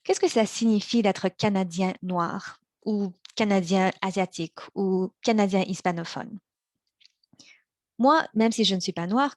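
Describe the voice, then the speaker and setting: Young woman who grew up in montreal, slow careful speech w little restarts, dead dry mic, mid pitch. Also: no room sound. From China, raised in Montreal. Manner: measured, slows on key words, small restarts. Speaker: practice speaker for interpreters. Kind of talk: prepared practice speech.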